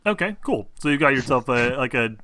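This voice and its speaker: Bright-voiced man running a tabletop game. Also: medium pitch, animated, brisk, slight background noise. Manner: informal, profane. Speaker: game master. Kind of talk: casual tabletop game narration and banter.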